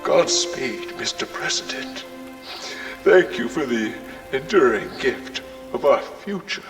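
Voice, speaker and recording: A man playing a stately senator, giving a solemn, grandiose memorial speech with deliberate emphasis. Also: mid-range voice, expressive. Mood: solemn, stirring. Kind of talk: formal political oratory. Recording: long hall reverb, faint music.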